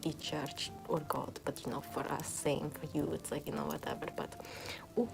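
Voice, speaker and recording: A woman playing a money-minded medieval nun in a soft, whispered ASMR roleplay, halting and confiding. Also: sits low, big pitch swings, vocal fry, music in the background, full character voice. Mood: hushed, confiding. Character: mercenary medieval nun tending a plague patient.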